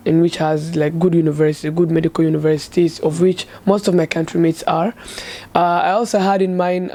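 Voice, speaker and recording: A man in his twenties from Somalia, a medical student giving casual, halting answers full of "like". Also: fairly high pitch, a little mellow. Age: 20s. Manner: hesitant, filler-heavy, frequent "like". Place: student dormitory room.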